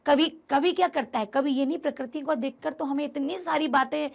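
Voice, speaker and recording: High-voiced woman teacher with vocal fry. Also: fairly quick. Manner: emphatic, repeats key phrases, addresses the students directly. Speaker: Hindi teacher. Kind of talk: explaining a textbook chapter to students.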